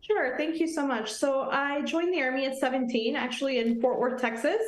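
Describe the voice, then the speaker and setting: Earnest friendly woman guest on morning tv, kinda high voice, roomy echo. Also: steady tone, normal speed. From Nepal. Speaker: Army officer guest. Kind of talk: morning TV interview.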